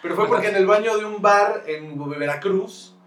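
Roomy, higher-pitched Mexican man, joking podcast host. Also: normal speed, a bit bright, slight background noise. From northern coastal Mexico. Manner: joking, slangy, rambling storyteller. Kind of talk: casual comedic chat. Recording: roomy echo, distant mic, music behind.

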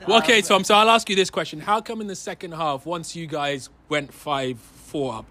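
A man's voice: a little high, fairly even intonation, normal speed, sharp tone. A man hosting a casual post-match football chat, often repeating his phrases as he puts questions to players. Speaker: host of amateur football podcast.

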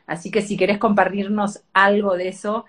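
A woman's voice: medium pitch, level tone, moderate pace. Hesitant Rioplatense woman interviewer. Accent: Rioplatense Spanish. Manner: hesitant, many fillers, gentle questions. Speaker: interviewer, host. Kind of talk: interview, conversational.

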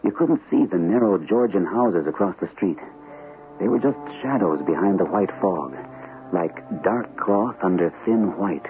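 A man's voice: sits low, animated, a little slow, faint music behind. An actor playing an earnest, unsteady shell-shocked army captain in an old-time radio drama, hesitant and repeating his words nervously. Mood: tense. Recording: tinny, noisy old radio broadcast recording.

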